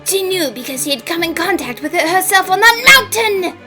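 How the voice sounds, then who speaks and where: A very high, bright cartoon girl detective, acted by a woman, declaiming with theatrical confidence. Her delivery is lively and dramatic, with soft music underneath. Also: brisk, heavily acted. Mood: explaining. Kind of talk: animated fan dub acting.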